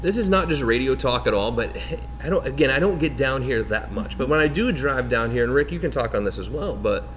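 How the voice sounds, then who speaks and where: A man hosts a live radio talk show from a bar. He talks quickly and chattily, often restarting and correcting himself mid-sentence. His voice sits at an ordinary middle pitch. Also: lively intonation, fairly bright tone, slight background noise. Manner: rambling, self-correcting, chatty.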